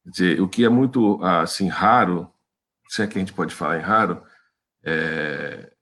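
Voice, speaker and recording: A male interviewer with a very low voice. He searches for his words as he frames his questions, circling back through repeated false starts. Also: unhurried, a bit bright.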